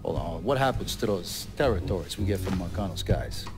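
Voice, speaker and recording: A man's voice: sits low, animated, fairly quick. A man playing an insolent, dismissive mob boss in a video game, brash and profane. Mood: questioning. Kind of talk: video game cutscene acting. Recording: game dialogue over clear background music.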